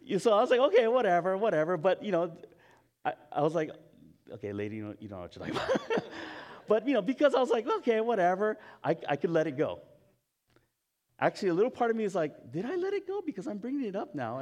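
Chatty man preaching with self-mocking laughs. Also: high voice. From Hawaii. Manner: halting, frequent restarts and fillers. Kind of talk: conversational sermon with personal stories.

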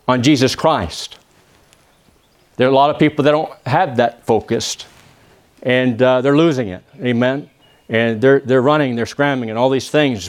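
A man from Texas with a Texan accent, preaching a church sermon and praying, often pausing with "uh" and asking the congregation for an "amen". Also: mid-range voice. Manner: peppers phrases with "amen?" asking the congregation to answer; halting, with many "uh" pauses and restarts. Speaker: visiting preacher.

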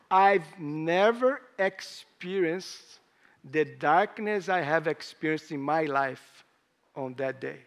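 Hoarse, slow-preaching man, English. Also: high-pitched, fairly bright tone. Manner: slow, halting delivery with pauses and rising emphasis; non-native English with grammar slips. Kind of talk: sermon.

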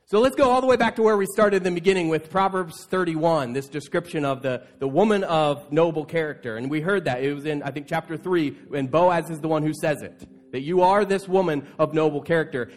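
A man with a fairly high voice delivers a sermon as a pastor. He talks conversationally to his congregation, telling the story in an animated manner and slipping into casual paraphrased quotes. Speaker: pastor preaching. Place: church, speaking to an assembled congregation.

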